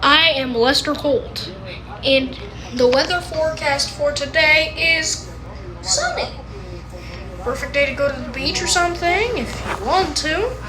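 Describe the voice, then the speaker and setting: A woman playing a TV news anchor, reading mock disaster bulletins in an announcer-like but halting amateur style. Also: sits high, rough recording. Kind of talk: amateur pretend news broadcast.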